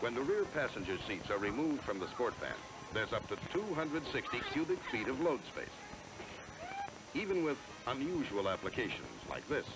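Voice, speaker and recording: Lively American man narrating a vintage sales film. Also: mid-range voice, normal speed, poor audio. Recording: vintage 1970s film soundtrack. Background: music.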